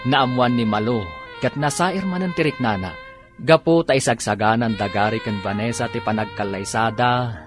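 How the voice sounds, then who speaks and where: A man's voice: medium pitch, moderate pace. Male radio drama announcer over music. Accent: Ilocano. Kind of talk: scripted radio narration.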